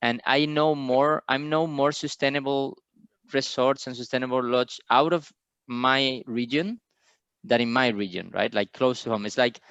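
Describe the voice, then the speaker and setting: A man's voice: medium pitch, fairly even intonation, normal speed, a bit bright, clear, resonant tone, no echo, muffled recording. A man from Spain, speaking passionately on a panel and firing off rhetorical questions. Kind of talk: online panel discussion.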